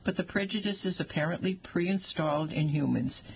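Wry man in his sixties with a gravelly voice. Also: high voice, normal speed, a little hiss or hum, rough recording. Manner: wry, dry humor. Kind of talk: reading an essay aloud.

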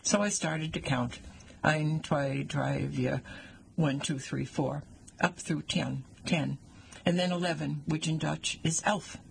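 Older man, 60s, essay reader, wry delivery, gravelly edge, faint background noise. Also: a little high, fairly even intonation, slow pace. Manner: wry, dry humor. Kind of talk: reading an essay aloud.

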